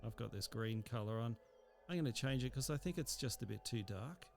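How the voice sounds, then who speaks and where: A man narrating a software tutorial in a casual, explanatory and somewhat hesitant way. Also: mid-range voice, lively intonation, a little fast, creaky.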